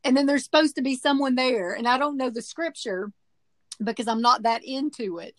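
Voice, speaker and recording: A woman's voice: high voice, normal speed, no room sound. A woman on a podcast panel, explaining her views earnestly and laughing easily. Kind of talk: casual chat.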